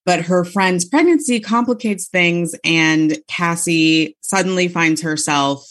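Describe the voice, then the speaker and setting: A woman's voice: on the low side, slow pace, fairly bright tone. A woman from the Chicago area, an author talking about her book in a casual interview, chatty, laughing often and restarting her sentences. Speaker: author guest.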